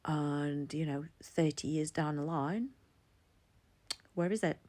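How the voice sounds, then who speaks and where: A woman's voice: low voice, slow pace, dark tone. A woman in her forties from Latvia, talking candidly to camera in a chatty, personal vlog. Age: 40s. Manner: chatty, candid, laughs between thoughts. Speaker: vlogger. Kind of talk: casual personal commentary.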